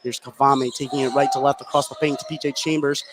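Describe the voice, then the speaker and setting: A man calling live radio basketball play-by-play from courtside in a high school gym. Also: flat delivery, fast talker. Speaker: play-by-play sports commentator. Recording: clearly noisy gym ambience. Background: clear gym noise.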